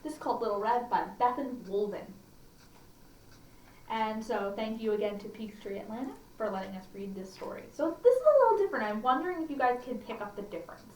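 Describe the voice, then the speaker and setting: A woman tells children's stories in a highish, very lively voice that rises and falls freely. She slips in chatty asides and questions as storytime host, a little distant from the mic in an echoey room. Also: brisk, slight background noise. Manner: expressive, questioning asides to listeners.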